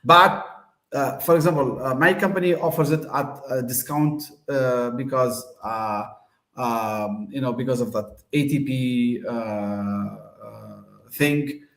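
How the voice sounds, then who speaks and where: A man's voice: mid-range voice, takes it slowly, creaky, a bit bright, echoey room. A man from Tunisia, host of the show, giving a casual, hesitant explanation full of fillers and restarts.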